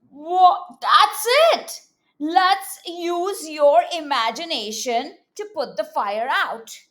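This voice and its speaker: A woman reading a storybook aloud to young children as a teacher, animated, with exclamations, coaxing questions and repeated words. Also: sits high, drawn-out words.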